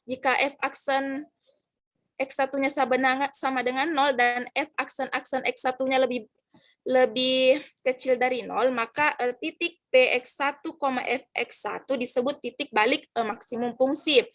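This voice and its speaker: A woman's voice: high-pitched, a bit bright. A young woman student giving a class presentation, reading formulas and definitions from slides with many hesitations and fillers.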